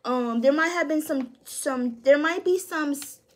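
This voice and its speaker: A woman giving a casual tarot reading in a high voice, swearing freely and talking with slangy emphasis. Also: measured pace. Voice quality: high-pitched voice. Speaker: tarot reader.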